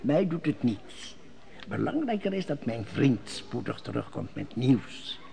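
A sly, gravelly court schemer. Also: male voice, medium pitch, big pitch swings, measured pace, mellow, full character voice. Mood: scheming. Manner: sly, speaks in riddles. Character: scheming court elder, male, cunning. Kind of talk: 1950s Dutch radio drama acting. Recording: old radio play with music.

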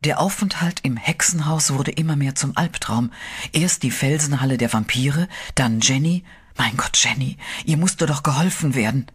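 A man narrating a German radio horror play in a steady, dramatic storytelling voice. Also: mid-range voice, normal speed, breathy edge.

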